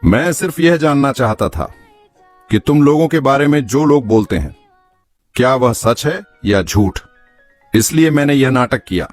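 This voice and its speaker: Cartoon casting: man as curious male passenger, earnest and reasoning, animated pitch, faint music underneath. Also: a little slow, natural voice. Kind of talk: cartoon story dialogue.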